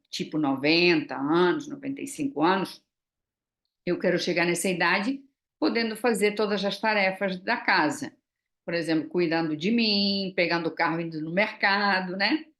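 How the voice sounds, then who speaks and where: A woman in her early sixties talks in a relaxed, chatty way, walking through results while dotting her speech with little tag questions and fillers. Her voice sits a little low for a woman. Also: normal speed. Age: 60s. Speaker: vlogger. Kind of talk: casual chat.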